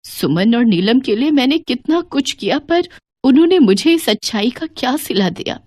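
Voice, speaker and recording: A woman's voice: lowish voice, lively intonation, normal speed, vocal fry, no room sound, pitched below the performer's own voice. A woman voicing an elderly widowed mother-in-law in a family story, emotional, hurt and pleading. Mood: tearful remorse.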